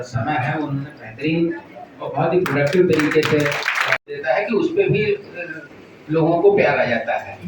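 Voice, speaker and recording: Higher-voiced man speaking in a very echoey hall. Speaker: speaker at a workshop opening. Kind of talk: formal celebratory speech. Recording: very echoey hall, distant, muffled, noisy.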